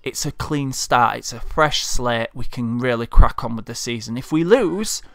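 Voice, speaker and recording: A man's voice: mid-range voice, normal speed, vocal fry, a bit bright, dry recording, clean studio sound. A man from Leeds with a Leeds accent, delivering a frustrated, heartfelt vlog rant as a football fan. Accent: Leeds Yorkshire. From Leeds, England. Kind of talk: impassioned monologue rant.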